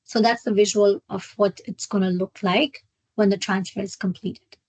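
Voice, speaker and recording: A woman presenting a live software walkthrough as a trainer, explaining step by step in a halting way. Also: mid-range voice, fairly even intonation, slightly rough, dry recording, rough recording. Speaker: software trainer hosting a webinar. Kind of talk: live screen-share product walkthrough.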